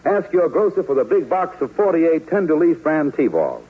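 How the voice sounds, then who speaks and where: A man announcing a 1940s radio show and reading an emphatic commercial sales pitch. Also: huge pitch swings, normal speed, heavy background noise. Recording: tinny old radio broadcast.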